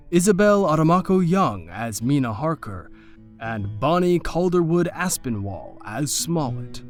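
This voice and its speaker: A man announcing the cast and crew credits of an audio production in a scripted read. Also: mid-range voice.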